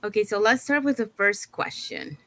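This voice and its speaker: A woman's voice: mid-range voice, very animated, moderate pace, a bit bright, dry recording. A woman from Panama hosting an online talk show, introducing guests in a loose, chatty way with fillers. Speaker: host.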